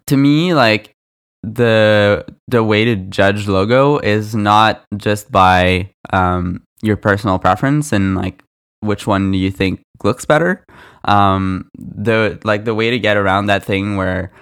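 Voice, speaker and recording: A man's voice: sits low, a bit bright, clean tone. A young man co-hosting a casual podcast, chatty and filling his talk with likes and ums. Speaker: podcast co-host, product designer. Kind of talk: casual chat.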